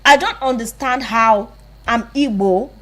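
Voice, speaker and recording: A Nigerian woman co-hosting a casual radio chat, calmly making her points about a film at length. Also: a little high, a little slow. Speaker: radio co-host. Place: radio studio.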